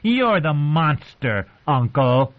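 A man's voice: sits high, animated, unhurried, phone-quality sound, pitched above the performer's own voice. A man voicing a spoiled, cruel boy king in a fantasy audiobook, with a whining, demanding manner. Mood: sneering.

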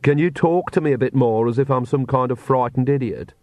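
A man's voice: mid-range voice, fairly quick, a little mellow, no room sound, natural voice. A man voicing an anxious, stubborn young witch woman with a strained, indignant manner. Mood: frightened, strained.

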